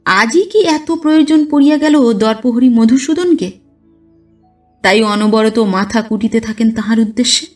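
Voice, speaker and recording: A woman narrates a classic story in a fairly high voice. Her delivery is measured yet expressive, shaped as scripted literary storytelling. She sounds a little away from the microphone, with music playing behind her. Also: recorded from a distance. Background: background music.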